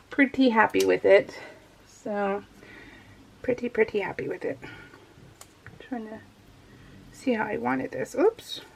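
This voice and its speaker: A woman's voice: on the high side, vocal fry, slight background noise. A middle-aged American woman chatting casually and informally as she narrates her craft project, in a rambling, self-deprecating way. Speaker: crafting vlogger. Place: indoors at a craft table, voiced live while working.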